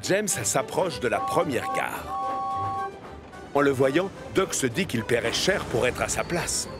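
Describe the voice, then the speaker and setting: A man narrating a scripted story for children in an animated series. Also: medium pitch, slow. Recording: music bed under the voice.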